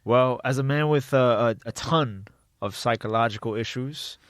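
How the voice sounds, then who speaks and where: A man with a low voice, co-hosting a podcast in rambling, joking, self-deprecating banter. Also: normal speed, no room sound. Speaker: podcast co-host. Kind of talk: casual banter.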